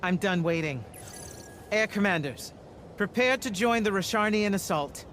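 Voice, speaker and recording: A man's voice: high-pitched, animated, unhurried, fairly bright tone, slight background noise. A man playing a curt, impatient military leader in a video game, speaking with authority. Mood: stern, impatient.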